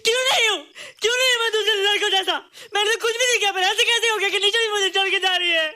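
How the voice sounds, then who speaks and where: An actor playing an innocent, hurt, childlike grown son in a film drama, speaking plaintively. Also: male voice, very high-pitched, fairly even intonation, very fast, pushed and bright, heavily acted. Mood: tearful protest. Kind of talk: Bollywood film dialogue.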